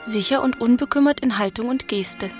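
A woman's voice: fairly high pitch, a little fast, music in the background, natural voice. A woman narrating a scripted German radio play in clipped, short phrases.

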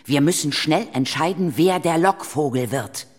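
A man playing a brisk, sensible, protective leader of ghost hunters in a children's radio play. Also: a little high, big-room sound, lightly acted.

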